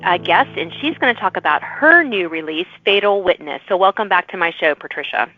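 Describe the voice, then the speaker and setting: A woman's voice: lowish voice, animated, a little fast, no room sound, soft background music. A woman interviewer speaks in a conversational, encouraging manner as a podcast host. Her audio is close and dry, with a slightly dull, lo-fi edge.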